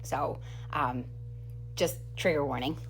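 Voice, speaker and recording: Casual woman teacher, slightly low voice, noisy recording. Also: takes it slowly. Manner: enthusiastic, giggly. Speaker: second grade teacher presenting book recommendations. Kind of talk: casual book talk to camera.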